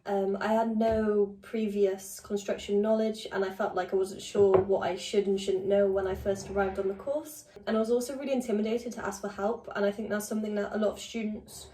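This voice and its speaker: A woman's voice: medium pitch, flat delivery, fairly quick, hardly any pauses, dark tone, a little hiss or hum. A young woman, a student co-host, talking in a chatty, candid way. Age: young adult. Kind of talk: casual chat. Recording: echoey room.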